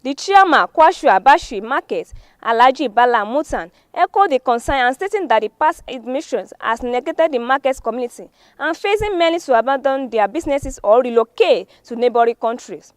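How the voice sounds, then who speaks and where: A woman's voice: sits high, animated, fairly quick, no echo. A woman with a Nigerian accent presenting a newspaper review, reading formally from the stories with occasional stumbles. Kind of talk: scripted news reading. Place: studio.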